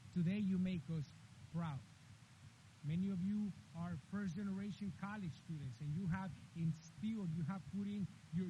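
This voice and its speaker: A man's voice: high-pitched, dark tone. A man from Honduras giving an earnest commencement speech with repeated emphatic phrases. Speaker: commencement speaker. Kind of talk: graduation speech. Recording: dull lo-fi with faint hiss.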